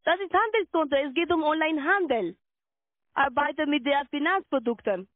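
Female telesales agent, high voice, insistent, halting non-native phrasing, narrow telephone band. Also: expressive, dry recording. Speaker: call centre sales agent. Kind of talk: scripted sales call. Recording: narrow tinny phone line.

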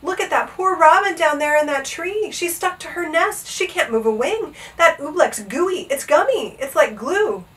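A woman's voice: high voice, animated, normal speed, hardly any pauses, sharp tone, distant mic, voice pushed up. A woman voicing an earnest, alarmed young boy in a storybook, exclaiming with breathless urgency. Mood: alarmed, concerned. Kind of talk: animated storybook character voice.

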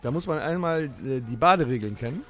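A man's voice: fairly high pitch, lively intonation, fast talker. A middle-aged man co-hosting a podcast, explaining things to friends in casual banter. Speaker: podcast co-host.

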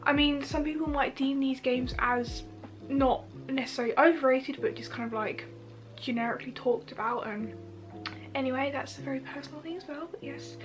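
A woman's voice: sits high, normal speed, low-quality recording. A young woman in her bedroom, chatting and gushing about games she loves, her nose stuffy from a cold, with fillers and restarts. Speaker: YouTuber. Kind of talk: casual game recommendations. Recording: music under the voice. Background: soft background music.

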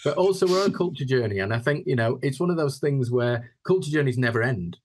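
A man's voice: medium pitch, expressive, quick pace. A man, giving reflective interview answers on a video call and often repeating words as he goes. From Yorkshire, England. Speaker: interview guest, housing chief executive.

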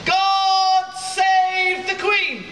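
A man cries out a formal announcement like a town crier. He bellows in a high, drawn-out voice. He sounds distant, with room echo and crowd noise around him. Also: steady tone, pushed and bright. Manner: bellowing, slow and ceremonial. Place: crowded indoor hallway. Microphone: far camera. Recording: echoey, distant, noisy hallway.

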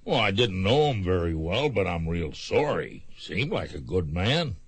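Casting: smug Old West killer, curt, husky, dry close radio sound. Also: male voice, low voice, big pitch swings, a little slow, no echo, exaggerated voice. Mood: smug, evasive. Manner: curt, insincere. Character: smug, callous frontier gunman villain. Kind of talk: radio drama acting, western.

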